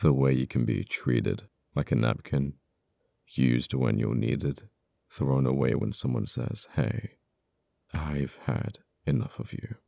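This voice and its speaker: A man playing an uptight, wounded but earnest government official in an ASMR audio roleplay, speaking haltingly with stammers. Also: very deep voice, creaky, warm tone, no echo, studio quality, heavily acted. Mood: weary, bitter. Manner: halting, hesitant delivery with stammers. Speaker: voice actor.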